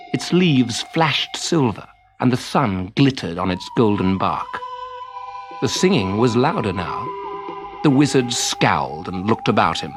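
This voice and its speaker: A man narrating a children's story in an expressive storybook style. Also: mid-range voice.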